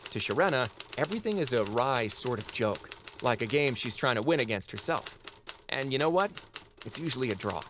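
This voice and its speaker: A man's voice: medium pitch, lively intonation, fairly quick, background noise, natural voice. An actor playing a wry, irreverent former god who narrates his own record in a chatty, sardonic audio drama style. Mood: amused, sardonic.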